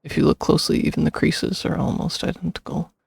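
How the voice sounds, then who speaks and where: A man streaming a video game, casually commenting and reading text aloud in an offhand, gravelly, husky voice. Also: fairly high pitch, fairly even intonation, quick pace, no echo. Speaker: streamer playing and reacting. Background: faint game music.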